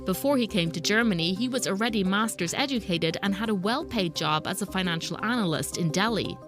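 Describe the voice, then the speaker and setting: A woman reporter narrating a business news documentary in a measured, explanatory way. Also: mid-range voice, expressive. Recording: voice-over with music bed.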